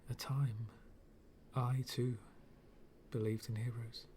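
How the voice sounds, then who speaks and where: Man acting a slow, brooding dark villain. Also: mid-range voice, little pitch movement, a little hiss or hum, close to an everyday voice. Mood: bitter, brooding. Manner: slow, brooding, dramatic, half-whispered with long pauses. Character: fallen-world villain, male, bitter, menacing, coldly philosophical. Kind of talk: ASMR villain monologue roleplay.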